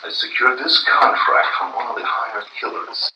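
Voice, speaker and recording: A man playing a calm, grim masked crime fighter in a live old-time radio drama, cool and commanding. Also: mid-range voice, moderate pace. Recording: roomy, distant live stage recording with faint hiss.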